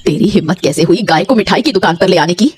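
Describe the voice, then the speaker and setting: A woman voicing a brash sweet-shop woman in an animated Hindi moral story, with an exaggerated, expressive delivery. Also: lowish voice, quick pace, faint music behind, natural voice. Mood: outraged. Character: brash, gloating sweet-shop women and puzzled village wife.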